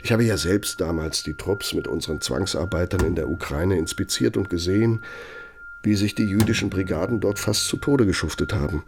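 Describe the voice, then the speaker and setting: Cynical male functionary, radio play, low gravelly voice, emphatic, self-justifying. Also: brisk, music in the background. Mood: grave, confronting. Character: cynical, bitter male architect, old Nazi functionary. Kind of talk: German radio play acting, dramatic monologue.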